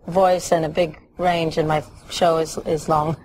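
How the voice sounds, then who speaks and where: Singer lady on a talk set, lowish voice, chats openly, bit of tape hiss. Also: level tone, a little slow, rough recording. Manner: warm, laughs easily, thinks aloud with fillers. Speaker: singer, guest. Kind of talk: TV interview. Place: TV studio set. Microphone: lapel mic. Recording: faint hiss, old TV tape.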